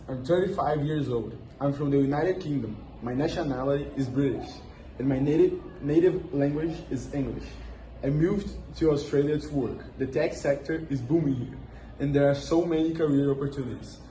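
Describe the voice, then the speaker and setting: Mellow young man reading scripted answers. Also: a little high, normal speed. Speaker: role-play interviewee. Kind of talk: scripted school role-play. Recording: echoey room with background noise.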